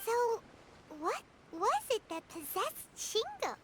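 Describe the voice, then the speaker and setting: A woman voicing a tiny, childlike, excitable fairy companion in a video game, bubbly and blunt. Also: extremely high pitch, slow, slight background noise, exaggerated voice. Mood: curious, eager. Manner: bubbly, blunt, refers to herself by name.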